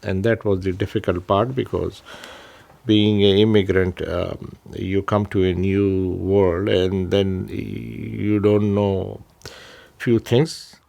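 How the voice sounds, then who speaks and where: A middle-aged man from Pakistan telling his own story in an interview, halting, with many restarts and fillers. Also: fairly low pitch, little pitch movement, gravelly, a little mellow. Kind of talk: personal story told to camera.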